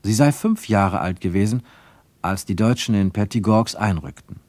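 A man narrating a literary radio play with expressive, deliberate phrasing. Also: fairly low pitch, no room sound.

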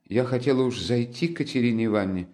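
A man's voice: mid-range voice, fast, darkish tone, pitched above the performer's own voice, lightly acted. A man reading an audiobook voices a timid, devout poor young woman who talks in anxious, pleading bursts. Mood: eager. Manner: pleading, anxious, breathless outbursts.

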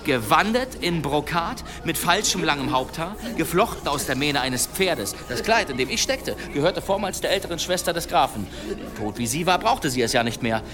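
An actor playing a boastful monster hunter telling tales in an archaic, theatrical manner in an audio drama. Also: male voice, a little high, animated, music in the background, lightly acted. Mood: suspenseful, gleeful. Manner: theatrical, dramatic pauses, savouring his tale. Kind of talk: German audio drama storytelling, archaic wording.

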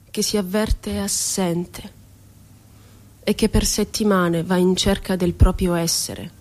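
A woman's voice: mid-range voice, mellow. Woman as grave, despairing tormented male poet. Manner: slow, grave, declaimed.

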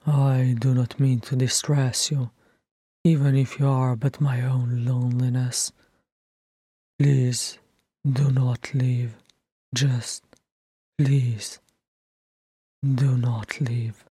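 Proud brooding dwarf king, acted by a narrator: growling, very slow, emphatic, dry sound. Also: medium pitch, steady tone, dark tone, dry recording, natural voice. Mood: weary, remorseful. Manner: growling, emphatic, drawn-out words. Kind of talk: fan fiction audiobook character acting.

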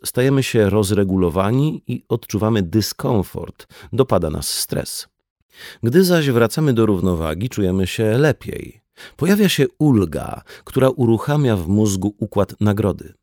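A man's voice: fairly low pitch, darkish tone, no room sound, studio quality. A man reading a conversational non-fiction audiobook as scripted narration. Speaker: audiobook reader.

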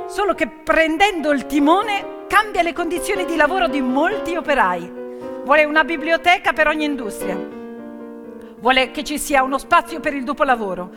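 A woman delivering a theatrical narrative monologue, dramatic and emphatic, swinging between hushed and loud delivery. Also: sits high. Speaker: stage actress and storyteller. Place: theatre or hall stage. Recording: long hall reverb, music behind. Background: piano music.

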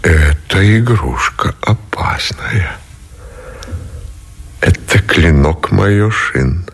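A deep, gravelly, weary admiral. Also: male voice, animated, fairly bright tone, full character voice. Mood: grave, reflective. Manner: slow, deliberate delivery with heavy pauses. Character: weary, brooding admiral, supreme commander facing inevitable defeat. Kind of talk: radio drama acting.

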